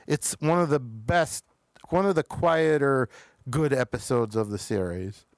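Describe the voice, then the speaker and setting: A man hosting a casual review show, halting as he searches for words. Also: mid-range voice, a little mellow, smooth, no echo, studio quality. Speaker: talk show host reviewing TV episodes.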